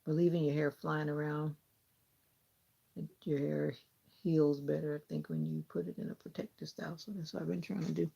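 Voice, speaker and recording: Husky, gravelly Southern woman chatting. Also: deep voice, flat delivery, moderate pace, mellow. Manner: chatty, laughs often, talks while trimming hair. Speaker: vlogger. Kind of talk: casual chat with live viewers.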